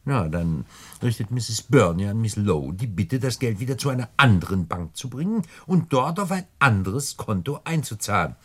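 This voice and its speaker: An actor in a German radio play voicing a genteel, scheming crook who talks in ornate, condescending phrases with deliberate hesitations. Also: male voice, on the low side, very animated, a bit bright, slightly rough, full character voice. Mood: smugly explaining. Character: genteel, wordy, scheming male crook, smugly condescending mastermind.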